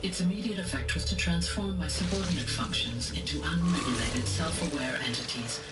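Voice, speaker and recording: A woman playing a grave, caring artificial intelligence in a game, speaking in formal, measured, precise sentences. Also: low-pitched, natural voice. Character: grave, caring female artificial intelligence facing her destruction. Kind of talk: scripted dramatic game monologue. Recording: distant voice under clear music.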